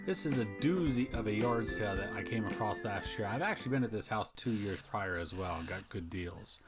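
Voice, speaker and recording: A man's voice: on the low side, lively intonation, a little fast. A man hosting a picking vlog, narrating casually in a folksy, informal way. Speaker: host of a yard sale picking channel. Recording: music behind the voice. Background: music.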